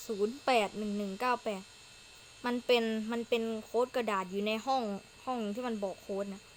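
A woman hosting a gaming video, giving informal gameplay commentary and thinking aloud as she goes. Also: fairly high pitch. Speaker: gaming channel host. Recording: clearly noisy home recording.

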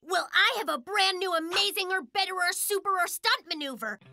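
An actor playing a boastful but caring young bear cub in an excitable, showy children's cartoon style. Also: extremely high pitch, moderate pace, creaky, heavily acted. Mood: competitive. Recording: music bed behind the voice.

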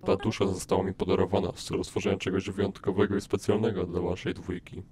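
A man playing a sorrowful, weary old skeleton creator in an animated fan dub, speaking solemnly and formally. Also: low voice, fast talker, noisy background, close to an everyday voice. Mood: sorrowful, defeated.

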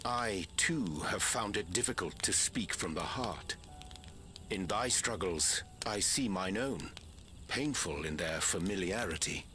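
A slow, stately, deep elven sage. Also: male voice, lively intonation, sharp tone, exaggerated voice. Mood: solemn, empathetic. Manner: stately, deliberate, archaic phrasing. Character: solemn, gentle male elf sage with archaic speech. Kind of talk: video game fantasy acting. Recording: background music under dialogue.

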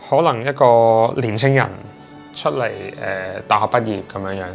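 A man in his thirties with a Hong Kong Cantonese accent, chatting in an interview at home and pausing often with fillers and restarts. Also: on the low side, vocal fry, lo-fi sound, faint music behind. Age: 30s. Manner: hesitant, many fillers and restarts. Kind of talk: casual interview chat. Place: indoors in a sea-view flat.

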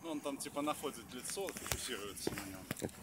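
A man's voice: mid-range voice, expressive, fairly quick. A middle-aged man giving offhand, casual commentary on a hike, recorded outdoors on a handheld phone. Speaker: vlogger filming a hike. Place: outdoor geothermal park and forest trails. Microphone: phone camera held while walking. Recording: outdoor phone audio with noticeable noise.

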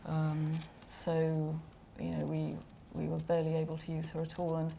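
A woman's voice: low voice, monotone, moderate pace, creaky, mellow, a little hiss or hum. A woman film director answering questions on a panel, hesitant, with many fillers, in a large gallery room.

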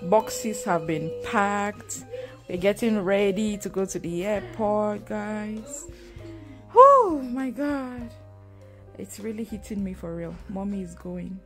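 A woman's voice: medium pitch, expressive, takes it slowly, fairly bright tone, soft background music. A woman in her thirties, a new mom, vlogging casually at home and talking to her viewers with emotion. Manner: emotional, chatty, addresses viewers as guys. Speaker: lifestyle vlogger. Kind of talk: casual vlog talk to camera. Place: home indoors.

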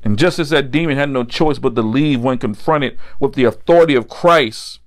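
A man's voice: mid-range voice, moderate pace. A middle-aged American man delivering a sermon as a preacher, blunt and admonishing, driving points home with repetition. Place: indoor set with backdrop, standing at a table.

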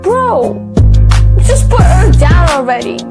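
Young woman reactor, high voice with lively swings, slangy interjections, loud music behind her. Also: takes it slowly. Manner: slangy, interjecting in short bursts. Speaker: reaction video host. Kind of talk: casual reaction commentary. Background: music and cartoon dub audio.

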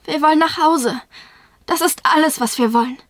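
A woman's voice: high voice, moderate pace, no echo, clean studio sound, natural voice. A woman voicing a feminine, slightly cheeky young girl in a fantasy audio drama. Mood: pleading.

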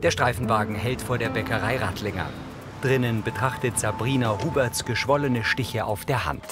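A male narrator delivers scripted descriptions of on-screen action in a medium-pitched voice. Prominent music runs underneath his reading. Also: normal speed.

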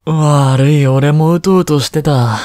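Man acting mellow, unhurried, caring young tutor. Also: on the high side, no room sound, clean studio sound. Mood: relaxed, teasing. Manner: soft, soothing, teasing. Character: caring young male tutor and medical student, gently scolding. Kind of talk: intimate one-sided voice drama aimed at women.

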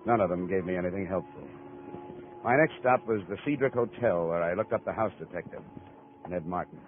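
Hardboiled male investigator played by an actor, American, low, clipped delivery, tinny vintage radio sound. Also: fairly quick, music in the background, close to an everyday voice. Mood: cool narration. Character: hardboiled male insurance investigator, cool and probing. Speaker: lead radio actor, narrator. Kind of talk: 1950s radio detective acting, first-person narration. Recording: muffled old radio recording, tinny.

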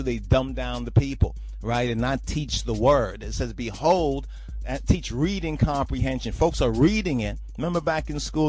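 Male podcast host, mid pitch, preachy rambling commentary. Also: darkish tone. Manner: rambling, repeats "you understand?".

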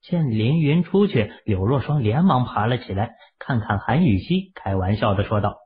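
A man narrating a novel as an audiobook in an expressive storytelling manner. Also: medium pitch, normal speed, husky. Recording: tinny phone-like audio.

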